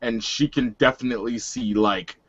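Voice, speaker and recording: A man's voice: mid-range voice, fairly even intonation, normal speed, a bit bright, highs cut off. A man improvising narration as a player in a tabletop roleplaying game.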